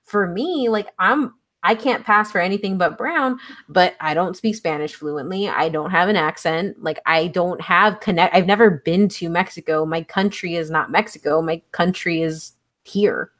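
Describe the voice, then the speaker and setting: A woman's voice: lowish voice, normal speed, a bit bright. Earnest woman from Texas chatting. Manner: earnest, frequent "like" fillers and restarts. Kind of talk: casual conversational chat.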